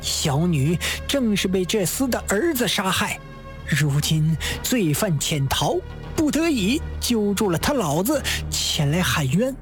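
A woman's voice: mid-range voice, sing-song, a little slow, faint music behind. A woman voicing a distraught, hot-tempered father pleading in court, agitated, indignant and emphatic, in a storytelling performance.

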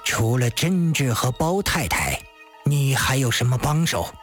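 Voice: medium pitch, measured pace, soft background music, pitched below the performer's own voice, natural voice. A storyteller voicing a stern male magistrate in an audiobook, grave, cold and pointed as he interrogates.

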